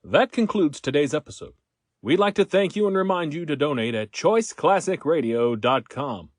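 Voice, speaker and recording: A man's voice: a little high, animated, normal speed, no echo, studio quality. A man giving an upbeat modern outro as channel host.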